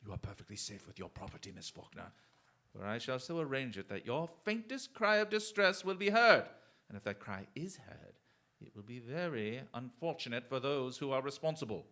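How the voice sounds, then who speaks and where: Male actor as gentleman detective: mid-pitched, formal, deliberate, chivalrous radio-drama delivery. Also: animated. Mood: gallant, firm. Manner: formal, polite, theatrically deliberate. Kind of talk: stage-style radio drama acting.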